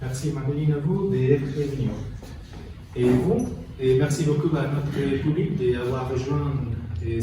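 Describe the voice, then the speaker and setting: A man playing a ceremonious TV game show host in an amateur dramatisation, halting. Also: mid-range voice. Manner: ceremonious, halting announcer delivery. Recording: very echoey room, distant mic, noisy.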